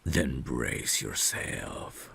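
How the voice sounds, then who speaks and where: Very deep, gravelly vampire butler, acted by a man. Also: expressive, slight background noise, exaggerated voice. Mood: reassuring, solemn. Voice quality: deep, gravelly, hushed with breathy whispers. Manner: slow, formal, gentle, deferential. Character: devoted, courteous male vampire butler, tender and protective. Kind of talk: ASMR roleplay audio drama.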